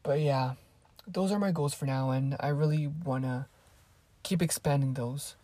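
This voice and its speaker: A woman's voice: low-pitched, a little slow, dark tone, no room sound. An eighteen-year-old woman whose first language is Spanish, chatting casually and rambling to her viewers as a vlogger. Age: teens. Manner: rambling, chatty, drifts off topic. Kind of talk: casual chat to camera.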